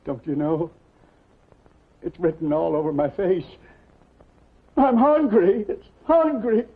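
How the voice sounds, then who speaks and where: A man's voice: high voice, slow pace, breathy edge, heavily acted. An actor playing a desperate, starving down-and-out man in a 1950s television drama. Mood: desperate, bitter. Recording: thin, phone-like 1950s TV soundtrack.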